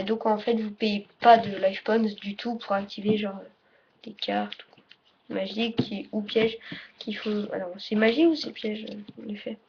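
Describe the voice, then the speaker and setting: A woman giving a casual, unscripted tutorial as presenter, halting and often correcting herself or trailing off mid-sentence. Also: fairly high pitch. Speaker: presenter of a card game tutorial. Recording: dull lo-fi audio, faint noise.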